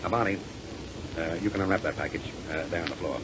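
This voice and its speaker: An actor playing a ruthless, impatient gang leader who snaps orders, in a 1930s radio serial style. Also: male voice, sits low, fast talker, close to an everyday voice. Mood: brisk, commanding. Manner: curt, bossy, snapping orders. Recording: muffled, noisy 1930s radio recording.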